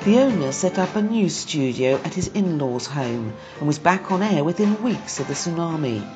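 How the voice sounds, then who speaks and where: Female documentary narrator, low register, scripted delivery over a clear music bed. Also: expressive, normal speed. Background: background music.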